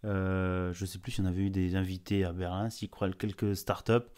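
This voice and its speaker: Guy hosting a chatty podcast, clear smooth voice, lots of euh and side tangents. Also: low voice, level tone, moderate pace, darkish tone. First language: bilingual French-Spanish. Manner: chatty, hesitant with many fillers and digressions. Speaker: podcast host. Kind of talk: casual interview chat.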